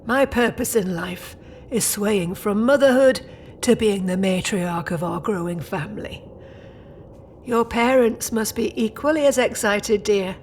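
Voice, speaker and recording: Actress as stern yet doting British matriarch, formal period manners, audio drama, mid pitch, slight background noise. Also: female voice, a little slow, darkish tone, lightly acted. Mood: fond. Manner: formal, prim, old-fashioned. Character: old-fashioned proud British grandmother-to-be, stern yet doting.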